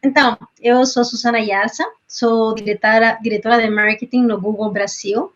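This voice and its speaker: Panelist, middle-aged Chilean woman, Spanish native, halting delivery with fillers, lo-fi sound. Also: a little high, steady tone, a bit bright. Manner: hesitant, frequent fillers and restarts. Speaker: panelist, marketing director. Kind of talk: panel talk.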